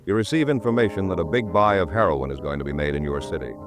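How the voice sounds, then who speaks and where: A man's voice: deep voice, fast talker. An American man announcing a 1950s radio crime show in a formal, dramatic, emphatic style. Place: radio studio. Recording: 1950s radio broadcast, music behind.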